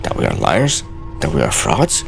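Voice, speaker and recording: A man giving let's play game commentary and dramatically reading in-game texts aloud in a theatrical, deliberate way. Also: extremely low pitch, wildly swooping pitch, gravelly, music in the background.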